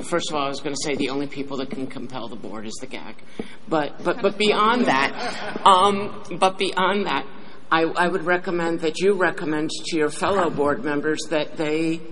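A man's voice: on the high side, fairly bright tone, poor audio. A man making an unscripted, direct, advisory comment at a meeting. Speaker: meeting participant.

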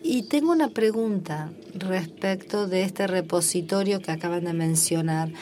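A woman working as a simultaneous interpreter at a formal meeting, relaying each speaker in the first person and pausing often with filler sounds. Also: lowish voice, mellow, slight background noise.

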